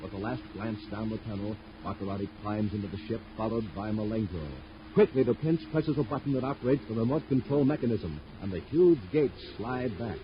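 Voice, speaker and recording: A man's voice: lowish voice, fairly quick. A man announcing and narrating in 1950s radio style with an emphatic, dramatic showman delivery on an old recording. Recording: muffled old radio recording, faint noise.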